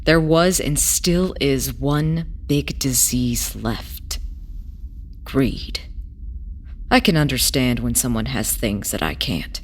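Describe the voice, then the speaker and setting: A woman playing a weary, idealistic former smuggler in an audio drama, telling their past in a hesitant, wistful way with pauses. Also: extremely low pitch, full character voice. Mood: wistful, bitter. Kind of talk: audio drama acting, halting first-person testimony.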